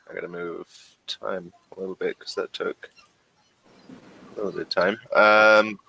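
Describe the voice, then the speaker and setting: A man runs a tabletop game at an unhurried pace. His voice sits low and has a bright, forward edge. He talks in a loose, halting way, full of fillers and restarts. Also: vocal fry, poor audio. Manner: relaxed, halting, lots of fillers. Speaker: game master.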